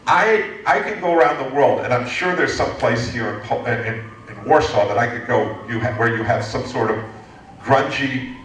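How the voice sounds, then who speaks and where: Man in his fifties, from the United States, lively and joking, lots of restarts, booming hall echo, heard from a distance. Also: moderate pace, slight background noise. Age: 50s. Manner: animated, joking, frequent restarts. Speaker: guest speaker, entrepreneurship lecturer. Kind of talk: lively Q&A answer to an audience. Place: lecture hall. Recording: echoey hall from far off.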